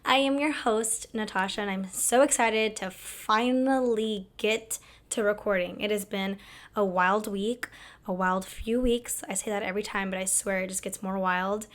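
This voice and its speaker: A young woman hosting her own video talk show, chatty and candid, her voice hoarse as it comes back after losing it. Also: mid-range voice, steady tone, normal speed. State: hoarse, recovering lost voice. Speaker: host. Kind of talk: casual talk to camera.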